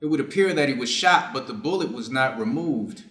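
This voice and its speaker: A middle-aged Japanese surgeon, read by a man in a staged story. He speaks formally and deliberately, reflective and clinical yet conflicted. The room adds a clear echo around the voice. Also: medium pitch, lively intonation, a bit bright, natural voice. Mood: clinical concern. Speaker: reader's theater performer. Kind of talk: staged reading of a short story, measured delivery. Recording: echoey room.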